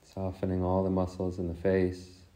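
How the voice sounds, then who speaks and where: Low, mellow man guiding a meditation. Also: rough recording. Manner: soft, gentle, calm, with long pauses. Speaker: meditation teacher. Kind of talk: guided meditation with a read-out reflection.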